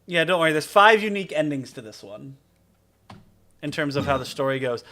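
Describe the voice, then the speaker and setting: Male game streamer, voice a bit high, rambling and joking through casual commentary. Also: animated, brisk, sharp tone. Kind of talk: casual comedic gameplay commentary.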